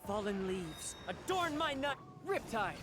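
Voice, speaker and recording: Theatrical young game fighter. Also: male voice, high-pitched, big pitch swings, measured pace, over music. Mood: solemn, dramatic. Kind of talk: video game battle lines.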